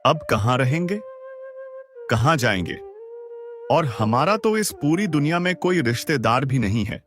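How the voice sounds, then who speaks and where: Guy playing worried village lad in a cartoon, plaintive and respectful, really swingy pitch, music behind. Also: sing-song, a little slow. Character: worried, hungry, hardworking village boy, respectful nephew. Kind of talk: Hindi animated moral-story dialogue.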